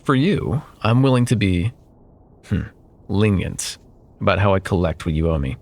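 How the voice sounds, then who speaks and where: A man playing a smug, possessive corporate CEO and jilted ex-lover in an intimate ASMR roleplay, with silky condescension and pointed pauses. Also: low voice, lively intonation, a little mellow. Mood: silky, bargaining.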